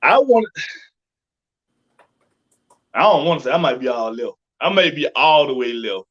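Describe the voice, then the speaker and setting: A man hosting a casual interview, speaking in a halting, conversational way with backtracking. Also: mid-range voice, sing-song, a bit bright. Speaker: interview host. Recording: dull, muffled lo-fi audio.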